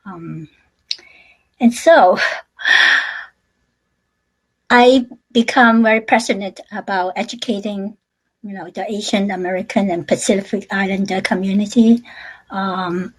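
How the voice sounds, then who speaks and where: Woman, halting testimony. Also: medium pitch, husky, low-quality recording. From Hong Kong. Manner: halting, many fillers and restarts. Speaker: panel speaker sharing her story. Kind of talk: personal testimony on a webinar.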